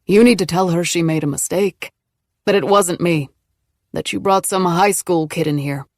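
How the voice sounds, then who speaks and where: A woman's voice: on the low side, normal speed, a little mellow, no echo, studio quality, lightly acted. A woman reading an audiobook, voicing an angry, threatening older man who growls orders. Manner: growling, commanding.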